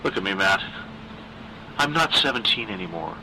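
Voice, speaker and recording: A man reads the part of a tired middle-aged secretary, a woman who is afraid but keeps reasoning. The delivery is bright and intense, earnest and halting, in an audio horror story with faint noise behind it. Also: fairly low pitch, expressive, exaggerated voice, poor audio. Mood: weary coaxing.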